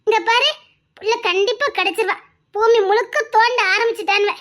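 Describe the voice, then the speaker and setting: A woman playing a firm, very high-voiced comforter. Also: brisk, bright, forceful tone. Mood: reassuring. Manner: scolding yet reassuring, calls him "daa". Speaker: relative consoling a grieving man. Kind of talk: serial drama acting.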